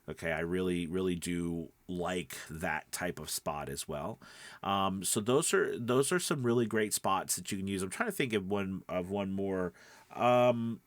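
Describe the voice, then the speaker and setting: A man's voice: low voice, dry recording. A man with a stuffed-up nose giving an informal, conversational lesson as a voice-over coach, speaking emphatically and often checking in with the listener. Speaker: voice-over coach and podcast host. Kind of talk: informal instructional talk to camera.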